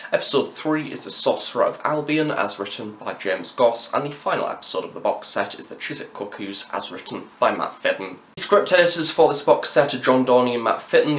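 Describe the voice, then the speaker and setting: A man's voice: fairly low pitch, a bit bright, a little hiss or hum. Young male reviewer in an echoey room. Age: young adult. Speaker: review channel host. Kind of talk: scripted-sounding audio drama review to camera. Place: room in university campus housing. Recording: echoey, roomy sound.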